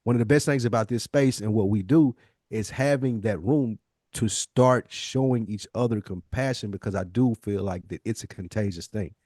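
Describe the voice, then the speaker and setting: A man's voice: lowish voice, moderate pace, mellow, dry recording. American man, close dry sound, casual and emphatic. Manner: emphatic, slangy, acts out imagined dialogue. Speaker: podcast co-host. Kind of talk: casual conversational podcast discussion.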